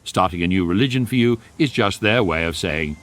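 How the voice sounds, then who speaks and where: An actor playing the wry, urbane, measured narrator of a guidebook in a radio comedy, with a British accent. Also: male voice, low voice, expressive, a bit bright.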